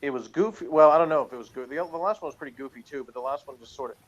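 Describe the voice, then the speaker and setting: A man's voice: fairly high pitch, very fast, lo-fi sound. A man in a casual, unscripted review chat, speaking in a hesitant, rambling way as he thinks aloud.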